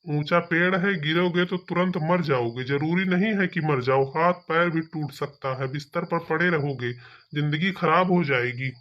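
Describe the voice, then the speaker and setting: A man's voice: on the high side, moderate pace, highs cut off, natural voice. A man acting a bossy village police officer in a cartoon comedy, commanding. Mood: coaxing, urgent. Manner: authoritative, commanding.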